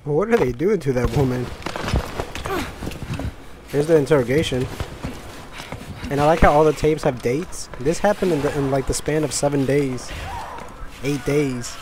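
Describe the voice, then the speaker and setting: A man's voice: a little high, moderate pace, pauses often. A man giving casual commentary as a game streamer. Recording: noisy, game ambience behind.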